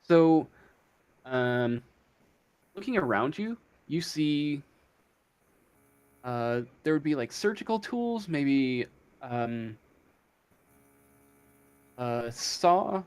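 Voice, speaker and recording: A man running a casual tabletop game as game master, improvising the narration in a hesitant, halting way. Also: on the high side, animated, darkish tone, smooth.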